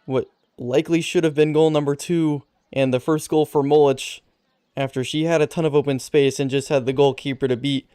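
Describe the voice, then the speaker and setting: A man's voice: on the high side, steady tone, a little fast, mellow, smooth, no room sound, clean studio sound. A man giving sports commentary as a match announcer.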